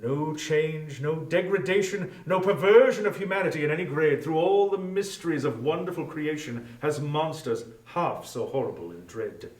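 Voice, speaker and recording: A man narrating a literary story theatrically, with deliberate phrasing and weight on each listed word. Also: a little high, expressive. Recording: echoey room, distant from mic.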